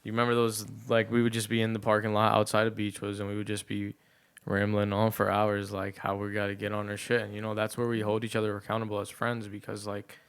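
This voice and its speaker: A man in his twenties co-hosting a podcast, speaking in a relaxed, low-key way. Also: quick pace, clear, resonant tone. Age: 20s. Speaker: podcast co-host. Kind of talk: casual chat.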